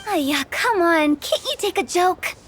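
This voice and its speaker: A woman's voice: very high-pitched, lively intonation, unhurried, fairly bright tone, a little hiss or hum, heavily acted. A woman voicing a playful, mischievous young funeral parlor director in a game, teasing and theatrical. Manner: teasing, theatrical, sing-song. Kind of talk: game cutscene voice acting.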